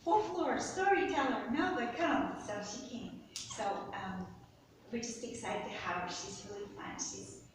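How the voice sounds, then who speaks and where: A woman's voice: fairly high pitch, unhurried. Chatty woman introducing a speaker, echoey hall. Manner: chatty, hesitant with fillers. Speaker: event organizer introducing the speaker. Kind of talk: casual introduction. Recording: distant mic in an echoey hall with noise.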